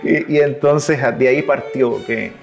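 A man from Cuba with a Cuban accent touched by Chilean, telling stories in a relaxed interview, with restarts and laughs. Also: mid-range voice, a little slow, creaky, faint music behind. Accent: Cuban Spanish with Chilean traces. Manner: warm anecdotal telling, restarts, laughs at his own stories. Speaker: interviewee, double bass player. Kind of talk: relaxed conversational storytelling.

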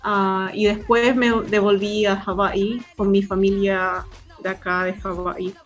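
A woman's voice: medium pitch, a little slow, soft background music. Young woman with a Chilean accent. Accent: Chilean Spanish. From born in Hawaii, raised in Chile. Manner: relaxed, laughs often, many fillers. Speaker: guest, filmmaker and film teacher. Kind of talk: casual interview chat. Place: remote interview from Hawaii.

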